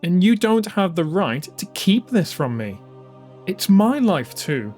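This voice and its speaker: A man's voice: sits high, sing-song, measured pace, a little mellow, soft background music, voice pushed up, heavily acted. A man voicing a young woman, a pleading and stubborn fighter, in an emphatic dramatised audiobook style. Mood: pleading, frustrated.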